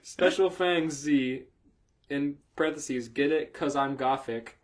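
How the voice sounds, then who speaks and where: A man's voice: mid-range voice, slow pace. A man hosting a comedy podcast, reading aloud in a casual, sweary, amused way and breaking off for asides. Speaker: podcast host reading fan fiction aloud.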